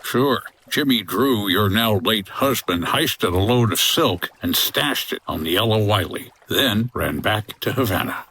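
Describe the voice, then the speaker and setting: A man's voice: on the low side, lively intonation, measured pace, few pauses, sharp tone, slightly rough, exaggerated voice. A man playing a wry, tough 1950s boat skipper in a comedy audio drama, talking in a clipped hardboiled way. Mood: cool, sardonic.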